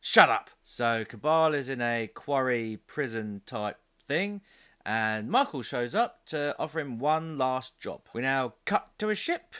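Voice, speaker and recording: A man's voice: medium pitch, animated, measured pace, sharp tone, clean tone. A man hosting a scripted comedic film review, narrating in a sarcastic, exasperated manner with loose ad-libs.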